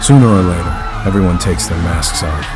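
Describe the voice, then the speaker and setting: An actor playing a weary, vengeful killer who speaks in a grim, deliberate way, as in a dramatic game cutscene. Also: male voice, low voice, raised voice, a loud crowd, natural voice. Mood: solemn. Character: weary, brooding vengeful male killer with inner beast. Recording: music behind the voice.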